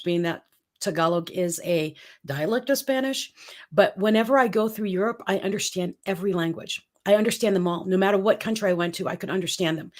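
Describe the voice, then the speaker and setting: A woman from the Philippines co-hosting a casual talk show, upbeat and energetic as she pitches ideas and chats. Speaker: podcast co-host. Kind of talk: casual chat.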